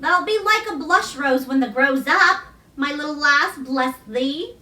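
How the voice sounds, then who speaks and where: A woman voicing a motherly, affectionate Yorkshire countrywoman in a storybook, speaking gently in homely dialect words. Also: sits high, animated, a little slow, roomy sound, recorded from a distance, heavily acted.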